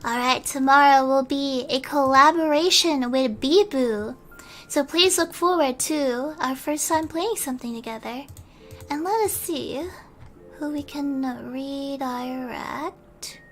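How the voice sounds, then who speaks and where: A woman streamer reading a bedtime story in a soft ASMR style, at times slipping into a whisper. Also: high-pitched, slow, faint music behind. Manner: soft-spoken, sometimes whispering.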